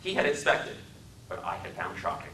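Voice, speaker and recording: Man from wisconsin, dramatic reading, measured, dry wit, bright tone, echoey library room, distant mic. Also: deep voice, lively intonation, slight background noise. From Wisconsin, USA. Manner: measured, dryly wry storytelling. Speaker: author giving a dramatic reading.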